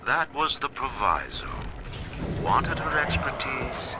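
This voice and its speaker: A man's voice: mid-range voice, very animated, takes it slowly, over music. A man playing a stern, proud middle-aged tycoon who rules his own city in a video game, speaking his audio diaries in pompous, menacing, formal oratory. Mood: stern, commanding. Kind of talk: dramatic recorded diary monologue, formal oratory.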